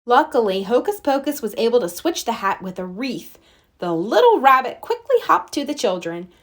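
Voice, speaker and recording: A woman reading a children's storybook aloud as narrator, with expressive storytelling. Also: fairly high pitch, moderate pace. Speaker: storybook reader.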